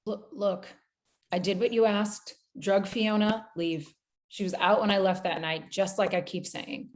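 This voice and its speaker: A woman's voice: medium pitch, steady tone, measured pace, close to an everyday voice. A woman playing a guarded, defensive character under pressure in a screenplay table read.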